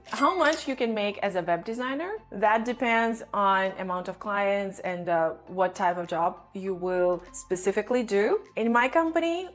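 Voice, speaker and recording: A woman who is not a native English speaker presents an explainer to online viewers, speaking in a direct, instructive way and often posing questions she then answers. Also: on the high side. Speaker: online video host, digital marketing agency owner.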